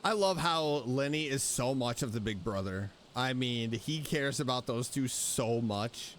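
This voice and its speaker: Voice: medium pitch, animated, a little slow, fairly bright tone, slight background noise. A streamer giving casual, playful, joking reactions while commentating on a video game. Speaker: VTuber streamer.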